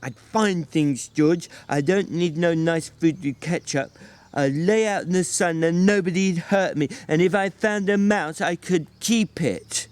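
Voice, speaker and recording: A man's voice: fairly high pitch, a little slow, darkish tone, clean tone, no room sound, pitched above the performer's own voice, lightly acted. A man voicing a timid, simple-minded big man who pleads stubbornly and childishly in a dramatic novel reading. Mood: wounded.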